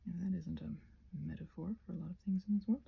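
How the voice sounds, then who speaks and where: Female ASMR rambler, husky with creaky fry, casual and self-mocking, breathy delivery that often sinks toward a whisper. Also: on the low side, steady tone, fast talker, highs cut off. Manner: self-mocking, playful asides to herself. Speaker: ASMR creator painting a craft while rambling.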